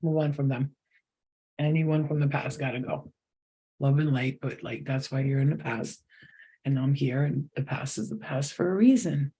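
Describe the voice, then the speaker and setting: A man's voice: medium pitch, moderate pace, mellow, husky, low-quality recording. A man giving a channeled psychic reading to viewers, talking straight to them, emphatic and repetitive. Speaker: psychic reader.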